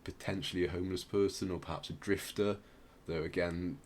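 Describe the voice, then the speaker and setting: A man's voice: sits low, steady tone, fairly quick, slight background noise. A man hosting a podcast, casually explaining music in a hesitant, rambling way. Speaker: podcast host.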